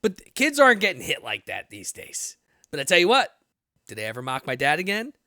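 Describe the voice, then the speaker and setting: A man in his late thirties with a US Northeastern accent, hosting a podcast, blunt and animated, swearing freely as he tells stories. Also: on the high side, a little fast, no echo, clean studio sound. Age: 30s. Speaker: podcast host. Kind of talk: casual interview talk.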